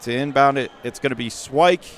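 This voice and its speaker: A man doing radio-style basketball play-by-play as the announcer, speaking in clipped phrases that lift into excited calls on big plays. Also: mid-range voice, a little fast, slight background noise. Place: high school gym during a game.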